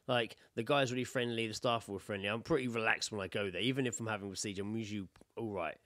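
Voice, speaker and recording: A man's voice: fairly low pitch, fast, no room sound, clean studio sound. A British man co-hosting a podcast, telling anecdotes in a casual, sweary way and acting out what people said. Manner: sweary, animated storyteller who acts out quotes. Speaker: podcast co-host.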